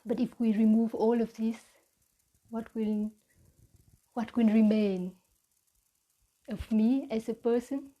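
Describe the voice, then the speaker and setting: A woman with a Vietnamese accent giving a reflective mindfulness talk as a meditation teacher, with halting, deliberate phrasing. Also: a little high, fairly even intonation, lo-fi sound.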